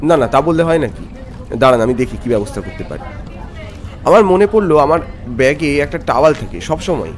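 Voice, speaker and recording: A man playing a polite, jittery young office worker who narrates a horror story in an expressive, suspenseful way. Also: a little high, a little fast, pauses often. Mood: polite, eager. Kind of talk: dramatic scripted first-person horror narration with dialogue. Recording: music bed under voice.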